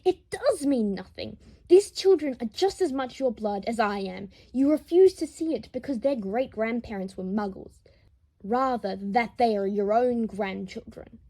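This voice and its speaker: Defiant adult son, performed by a woman, hurt and protective, impassioned, higher-pitched yet mellow, for dramatic audio fiction. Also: lively intonation, moderate pace. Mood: hurt, furious. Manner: impassioned, confrontational, swearing. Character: wounded, defiant grown son of an aristocrat, fiercely protective.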